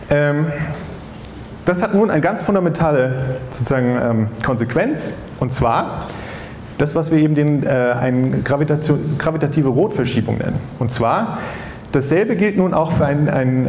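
A man's voice: medium pitch, a little mellow. A man in his forties gives a popular science lecture off the cuff as a scientist, often repeating and rephrasing his words, on a headset mic on a stage. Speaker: astrophysicist giving a public lecture. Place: stage of a dark theatre with seated audience. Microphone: headset microphone. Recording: roomy stage sound with background noise.

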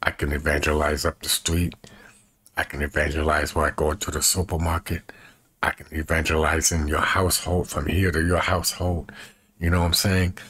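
A man in his fifties with a husky voice, chatting casually to camera in a folksy way and repeating himself as he demonstrates. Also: rumbling low, flat delivery, normal speed, a bit bright, dry recording. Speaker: evangelist and video creator. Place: small bedroom, indoors.

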